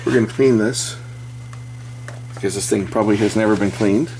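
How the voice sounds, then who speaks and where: A man's voice: lowish voice, a little mellow, slight background noise, poor audio. Middle-aged man thinking aloud while tinkering. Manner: unscripted, thinking aloud with hesitant pauses. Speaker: electronics repair hobbyist. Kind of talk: casual hands-on restoration commentary.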